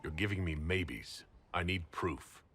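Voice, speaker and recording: An actor playing a hard, demanding leader of a fantasy order in a video game, blunt and skeptical. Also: male voice, low-pitched, a little slow, fairly bright tone, breathy edge, heavily acted.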